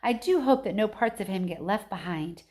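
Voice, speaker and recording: Female reader as eccentric male factory owner, excitable, fretful, exclamatory, storybook character. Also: medium pitch, moderate pace, natural voice. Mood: anxious. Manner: exclamatory, fretting.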